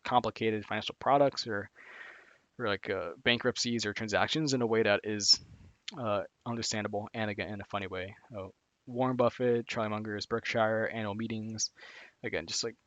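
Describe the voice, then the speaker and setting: A man's voice: on the low side, fairly even intonation, brisk, fairly bright tone, dry recording, highs cut off. A man streaming live, talking casually through a list of finance reading resources, rambling with lots of fillers and restarts. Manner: rambling, heavy on "like" and "again", frequent restarts.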